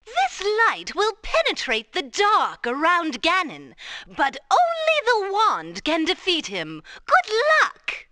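A woman's voice: very high voice, wildly swooping pitch, takes it slowly, pushed and bright, dry recording, full character voice. A woman voicing a kindly old wizard helper in a cartoon game. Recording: very noisy old game audio.

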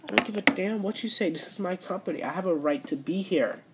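A woman voicing a stern female wrestling boss who talks low and clipped. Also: fast talker, heavy background noise, lightly acted.

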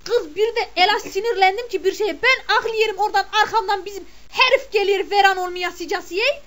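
A woman playing a shrill gossiping village mother. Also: fairly quick, fairly bright tone. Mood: gossipy. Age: middle-aged. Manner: chatty, exclamatory, scolding. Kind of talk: comic character monologue.

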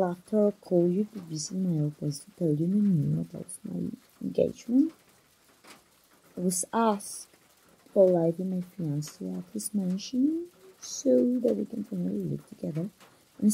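A woman acting out a young woman talking intimately to her oldest friend in an ASMR roleplay. Her voice is very dark and soft, breathy and husky, with a gravelly fry at the edges. She confides gently and closely. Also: medium pitch, expressive, a little slow, full character voice, rough recording. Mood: wistful, confiding. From Mexico. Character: engaged young woman, teasing longtime friend confiding troubles.

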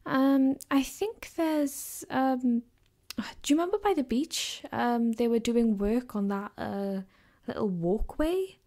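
Caring girlfriend for ASMR audio, played by a woman: soft, slightly high, mellow, affectionate and reassuring. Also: animated, takes it slowly. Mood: playful, relaxed. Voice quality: soft, gentle, sometimes dropping to a whisper. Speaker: ASMR roleplay performer. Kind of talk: ASMR girlfriend comfort roleplay.

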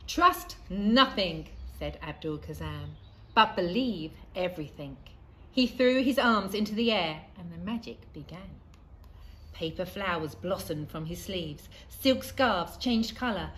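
A middle-aged woman reading a children's storybook aloud expressively, with deliberate, dramatic pauses. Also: lowish voice, lively intonation. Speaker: primary school staff member reading aloud. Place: outdoors in a leafy school playground. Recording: faint outdoor ambient noise.

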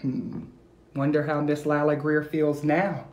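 A man's voice: fairly high pitch, level tone, unhurried, darkish tone, a little hiss or hum. A middle-aged man reading aloud expressively, as an educator addressing young listeners directly and encouragingly. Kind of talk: expressive children's read-aloud. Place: indoor room at home. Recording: echoey room, phone video.

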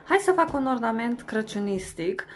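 A woman's voice: fairly high pitch, lively intonation. A young woman chatting in a casual voice-over about cleaning and organizing her kitchen, rambling with fillers and restarts. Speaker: home vlogger.

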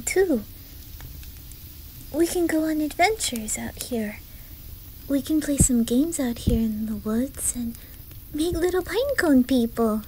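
A woman voicing a gentle, timid young boy with an imitated Swedish accent, speaking hushed and hesitantly in an ASMR roleplay. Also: high-pitched, a little hiss or hum, poor audio. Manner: hushed, hesitant, halting, often whispery.

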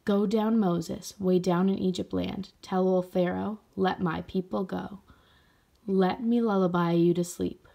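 A woman voicing a gentle, solemn aunt who guides a child in a storybook, soft and measured. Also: lowish voice, fairly even intonation, vocal fry. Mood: soft, instructive.

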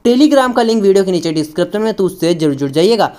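A woman's voice: medium pitch, expressive, quick pace, dark tone. A woman narrating a scripted sports preview for an online video, addressing viewers directly in a formulaic way. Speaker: cricket match preview presenter.

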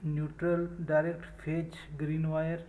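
A man giving a step-by-step technical explanation as an electronics instructor, speaking in short, measured phrases. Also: fairly high pitch, monotone, dark tone, rough recording.

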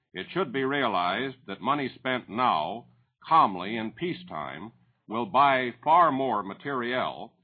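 American male banker-spokesman, mid-pitched and husky, formal measured delivery, narrow vintage radio line. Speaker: banker interviewed as business spokesman. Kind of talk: formal, prepared-sounding statements.

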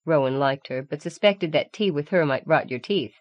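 A woman's voice: low voice, moderate pace, no room sound. A woman reading scripted fiction as an audiobook narrator. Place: dry studio voice recording.

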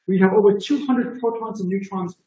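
Boston guy giving a math talk on a video call, high pitch, soft dark tone, lots of "you know". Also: fairly even intonation, fairly quick, echoey room, slight background noise, poor audio. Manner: enthusiastic, conversational, many "you know" fillers. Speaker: mathematics lecturer. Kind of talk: conference talk with slides.

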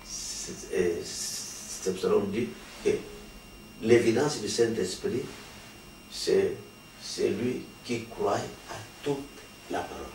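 A man's voice: lowish voice, takes it slowly, a little mellow, echoey room. Man, pastor from Congo, earnest explaining, distant mic, faint hiss. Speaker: pastor, guest. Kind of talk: sermon-like interview answers. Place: small studio with patterned wallpaper.

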